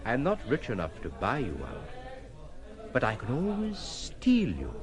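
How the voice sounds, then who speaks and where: An actor playing a reserved, wry and chivalrous gentleman in a radio drama. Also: medium pitch, wildly swooping pitch, unhurried, a crowd in the background. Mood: hushed, resolute.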